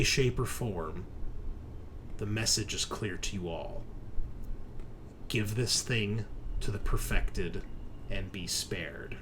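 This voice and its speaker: A man with a fairly low voice runs a horror tabletop game as game master. He speaks slowly and deliberately, with a dramatic storyteller's delivery. There is clear noise behind him.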